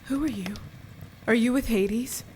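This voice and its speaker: Weary captive young goddess, acted by a woman, slow and high. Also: darkish tone, a little hiss or hum, natural voice. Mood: wary, weary. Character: captive young goddess Kore, weary, desperate, quietly defiant. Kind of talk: radio play acting.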